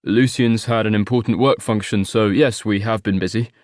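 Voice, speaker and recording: A meek young man, nearly thirty, in an audiobook, as a male reader voices him. He speaks low and quietly and sounds apologetic. The recording is dry and close. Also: fairly even intonation, moderate pace, dry recording, natural voice. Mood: subdued.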